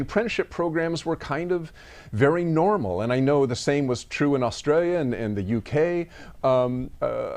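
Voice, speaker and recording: Male interviewer, middle-aged, mid-pitched, conversational, meandering question style. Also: darkish tone. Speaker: interviewer, host.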